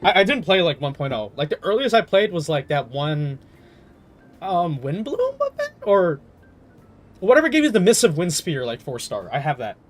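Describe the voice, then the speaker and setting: Higher-voiced young man, casual streamer. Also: wildly swooping pitch, normal speed, faint music behind. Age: young adult. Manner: rambling, emphatic, profane. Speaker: game streamer. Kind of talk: casual live stream chat.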